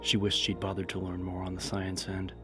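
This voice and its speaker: A man narrates a dramatic audiobook in a low voice. His intonation stays very flat throughout the scripted reading. Music is clearly audible behind him. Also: a little fast.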